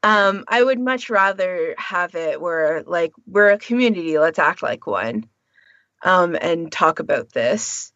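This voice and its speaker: A woman's voice: fairly low pitch, expressive, unhurried, fairly bright tone, no echo, lo-fi sound. A woman co-hosting a podcast, talking casually and informally with firm opinions of her own. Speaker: podcast co-host.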